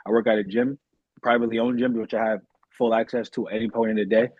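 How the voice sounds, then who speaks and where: Young male guest, husky mid-range voice, earnest and polite, casual interview delivery, dull close-up recording. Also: on the low side, flat delivery, fast, no room sound. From Massachusetts, United States. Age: young adult.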